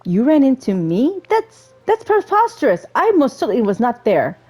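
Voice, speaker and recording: A woman's voice: high voice, wildly swooping pitch, normal speed, darkish tone, exaggerated voice. A woman voicing a learned, fussy old male wizard from a video game, with formal, pompous phrasing. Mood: indignant.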